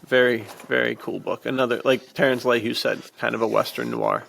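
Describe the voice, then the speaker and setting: Gravelly, creaky-voiced man chatting casually. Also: medium pitch, fairly even intonation, fairly quick, a bit bright, slight background noise. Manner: laid-back enthusiasm, peppered with "dude". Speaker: podcast co-host. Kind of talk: casual book chat.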